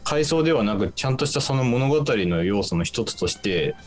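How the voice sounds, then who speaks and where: A man's voice: medium pitch, lively intonation, moderate pace, soft background music. A man in his twenties giving his opinions as a guest on a radio talk show, speaking in hesitant, piecemeal phrases. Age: 20s. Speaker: show staff member and guest presenter.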